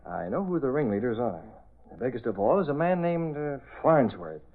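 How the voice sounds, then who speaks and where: A man's voice: medium pitch, very animated, moderate pace. A man acting a resolute, calculating publisher and secret vigilante in an old radio drama, speaking in emphatic declarations. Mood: confiding, determined. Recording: muffled narrow-band old radio recording.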